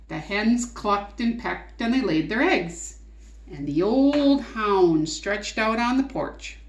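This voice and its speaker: A woman reading a picture book aloud to children in an expressive, coaxing way, in an echoey room. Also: mid-range voice. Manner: expressive, coaxing, asks the listener questions. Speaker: read-aloud teacher and storyteller. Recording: roomy echo.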